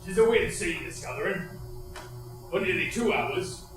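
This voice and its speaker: Solemn witness under loud music. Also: male voice, sits high, expressive. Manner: solemn, poetic, measured. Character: solemn male witness narrating burials at sea. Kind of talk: theatrical monologue. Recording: echoey, distant, loud music behind.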